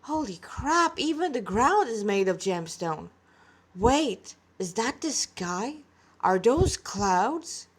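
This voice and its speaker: Storybook boy cadet voiced by a woman: high-pitched, excitable, impulsive, wears every feeling openly. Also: slow pace, slightly rough, full character voice. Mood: awestruck. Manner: impulsive, emotional, exclaiming. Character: excitable young boy cadet, full of wonder. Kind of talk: storybook character reading.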